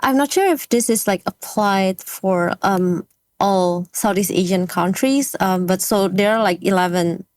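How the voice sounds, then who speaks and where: A woman from Indonesia with an Indonesian accent, an interview guest speaking English as a second language, pausing often with fillers as she searches for words. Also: mid-range voice, a little mellow, no echo. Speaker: guest, game industry speaker. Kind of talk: casual interview talk.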